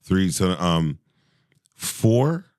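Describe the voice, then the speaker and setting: Diepe, levendige mannenstem. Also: male voice, very slow, smooth, dry recording, studio quality. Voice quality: deep voice. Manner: animated, laughing, trails off and restarts. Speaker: podcast guest, singer. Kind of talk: casual podcast chat.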